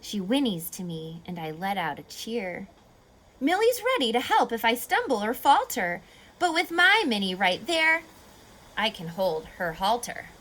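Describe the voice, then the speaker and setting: A woman reading a picture book aloud to children like a teacher, expressively, pausing to explain and ask questions. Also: mid-range voice. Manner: expressive, instructive, asking questions of young listeners.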